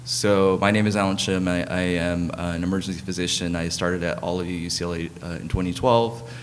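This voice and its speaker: Deep, flat-voiced man hosting a panel. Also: brisk, slight background noise. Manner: frequent fillers and restarts. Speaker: conference moderator, emergency physician. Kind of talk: hesitant welcoming introduction. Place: conference hall.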